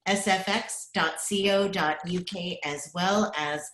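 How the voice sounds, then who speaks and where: A woman's voice: on the low side, slow pace, fairly bright tone. A woman announcer reading scripted radio credits, recorded at home in a roomy, echoey space.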